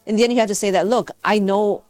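A woman's voice: mid-range voice, fairly even intonation, darkish tone, dry recording. A woman from Malaysia, a scientist giving reflective, conversational interview answers, searching for words as she goes. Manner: halting, thoughtful, searching for words. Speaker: scientist and university teacher, interview guest.

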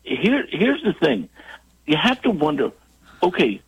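A man's voice: a little high, huge pitch swings, unhurried, slightly rough, rough recording. A man with a cold, calling in by phone as a guest columnist, talking in a wry, self-mocking way. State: has a cold. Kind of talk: radio call-in chat. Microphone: phone line.

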